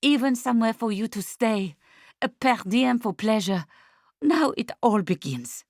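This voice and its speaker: A woman voicing a commanding, excitable female art agent in an audiobook, with emphatic, slightly stilted phrasing. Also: fairly high pitch, unhurried, dry recording, clean studio sound, voice pushed up. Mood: brisk, exultant. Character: diplomatic, bossy, excitable French female art agent, exultant and insistent.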